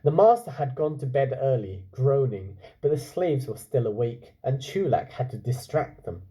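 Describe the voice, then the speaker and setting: A man working as a teacher, reading a children's book aloud and pausing to explain it and put questions to his young listeners. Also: medium pitch, sing-song, warm tone, slightly rough. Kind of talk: storytelling with explanatory asides to children.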